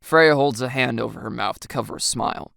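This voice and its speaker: A woman's voice: very deep voice, fairly quick, creaky, fairly bright tone, no room sound, clean studio sound. A woman reading a scripted first-person story as its narrator.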